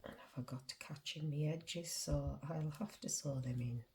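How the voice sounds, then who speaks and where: Woman host, northern English, low mellow voice, stuffy cold, unhurried chatty crochet walkthrough. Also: a little hiss or hum. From England. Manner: chatty, talks to herself while working. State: congested with a cold, sniffly. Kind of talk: casual crochet demonstration.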